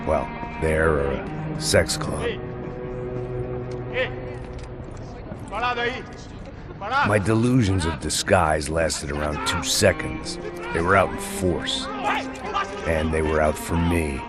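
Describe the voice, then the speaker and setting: A hard-bitten, middle-aged American antihero in a video game. His voice is extremely low and he narrates with bitter, deadpan self-mockery. Loud music plays underneath. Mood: wry, bitter. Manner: world-weary, sardonic, deadpan. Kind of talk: scripted noir voice-over narration.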